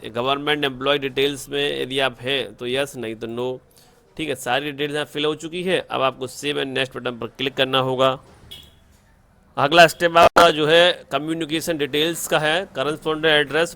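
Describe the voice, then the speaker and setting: A man's voice: mid-range voice, sharp tone. A man narrates a step-by-step screen tutorial in an instructional way, mixing English terms into his Hindi and often checking in with an okay. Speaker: online tutorial presenter.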